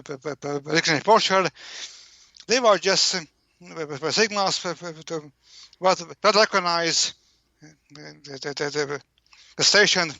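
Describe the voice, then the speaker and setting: A man explaining as an interview guest, halting and repeating words over and over as he searches for the next one. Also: fairly high pitch, sharp tone, no room sound. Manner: halting, heavily stammered repetitions of words. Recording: dull, lo-fi call-in audio.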